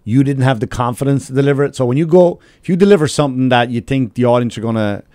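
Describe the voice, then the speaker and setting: A man's voice: mid-range voice, fast, dark tone, no room sound, studio quality. A man with an Irish accent telling stories casually and emphatically on a podcast, swearing freely. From Ireland. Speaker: comedian. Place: small podcast studio. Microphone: close studio microphone with headphones.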